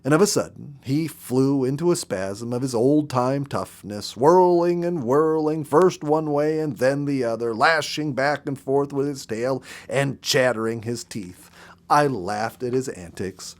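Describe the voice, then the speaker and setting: Male storybook narrator, medium pitch, measured and dramatic, expressive read. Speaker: audiobook narrator.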